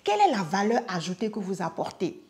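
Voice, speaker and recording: A woman's voice: mid-range voice, lively intonation, fast, a little mellow. A woman podcast guest explaining in an enthusiastic, conversational way, with rhetorical questions and repeated words.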